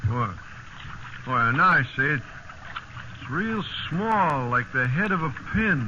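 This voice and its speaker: An actor playing a hardworking, dutiful young sailor in a 1950s radio drama, plain-spoken and folksy. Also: male voice, on the high side, lively intonation, takes it slowly. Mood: eager. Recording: muffled, very noisy old radio recording.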